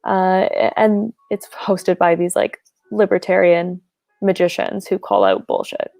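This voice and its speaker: Heavily gravelly woman telling a story. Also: fairly low pitch, sing-song, measured pace, a little mellow, no room sound. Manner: rambling, lots of "like". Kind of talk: casual comic storytelling.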